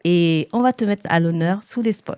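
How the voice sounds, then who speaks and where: A woman's voice: fairly low pitch, moderate pace, darkish tone, smooth, no room sound. A woman from Haiti hosting an interview podcast, welcoming and enthusiastic, with plenty of hesitant fillers. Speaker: podcast host.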